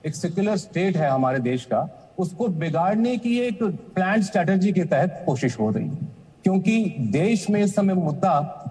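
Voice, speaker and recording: A man with a fairly high, husky voice argues formally as a political spokesperson. He is measured in his defence. The sound is a reverberant video-call link. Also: big pitch swings, dark tone. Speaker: political party spokesperson, debate guest. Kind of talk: political debate argument.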